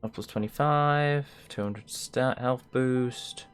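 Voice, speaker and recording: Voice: mid-range voice, slow pace, soft background music. A video game streamer giving casual, sluggish commentary, muttering to themselves with playful mock-formal turns of phrase. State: sluggish, not fully awake.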